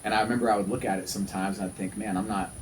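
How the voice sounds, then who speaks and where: A man's voice: fairly low pitch, steady tone, rapid-fire, a little hiss or hum, low-quality recording. A man in his thirties talking casually and earnestly as a podcast host, often repeating himself for emphasis. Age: 30s. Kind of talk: casual conversational podcast talk.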